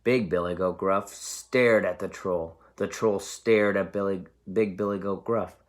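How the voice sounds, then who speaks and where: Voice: low-pitched, fairly even intonation, a little slow. A storyteller reading a picture book aloud expressively for young children.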